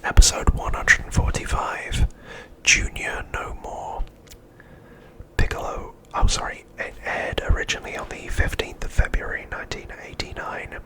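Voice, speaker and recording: A man's voice: normal speed, bright, forceful tone, low-quality recording. Whispering man narrating ASMR. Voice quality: breathy, unvoiced whisper. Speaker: ASMR host. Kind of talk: whispered ASMR, scripted episode recap narration.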